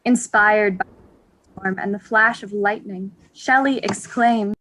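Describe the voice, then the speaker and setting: A woman's voice: medium pitch, steady tone, takes it slowly, fairly bright tone, low-quality recording. A woman narrator reading stage directions in a scripted narration style.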